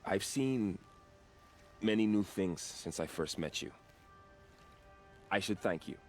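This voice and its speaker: Devoted young-hearted warrior, deep voice, earnest, measured, reverent, game drama, soft music behind. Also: male voice, expressive. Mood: troubled, earnest. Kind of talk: dramatic video game dialogue.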